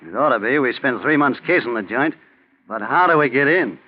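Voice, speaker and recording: A man's voice: mid-range voice, animated, fairly quick, lightly acted. An actor playing a sour, cautious crook with grumbling, sardonic tough-guy talk in a 1940s radio crime drama. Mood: disgusted, sarcastic. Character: sour, skeptical male crook, cautious and weary of schemes. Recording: thin, tinny 1940s radio recording.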